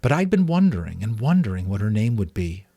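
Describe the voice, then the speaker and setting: A man's voice: on the low side, sing-song, brisk, dark tone, slightly rough, dry recording, clean studio sound, exaggerated voice. A man voicing a mother in a storybook who reminisces fondly. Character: smiling mother recalling her daughter's naming.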